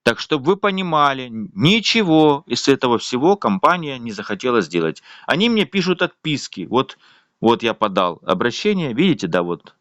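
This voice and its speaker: A man's voice: mid-range voice, very animated, moderate pace, fairly bright tone, no echo, lo-fi sound. A man with a voice, complaining at length in a casual, rambling video monologue full of fillers. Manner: indignant, rambling, with frequent fillers. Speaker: vlogger.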